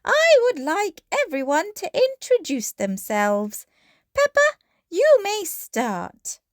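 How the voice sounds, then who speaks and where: A woman's voice: extremely high pitch, wildly swooping pitch, takes it slowly, no echo, studio quality, pitched above the performer's own voice, exaggerated voice. A woman voicing a welcoming lady gazelle playgroup teacher who talks in an enthusiastic sing-song to small children in a storybook. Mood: bright, welcoming. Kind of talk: children's storybook read-aloud.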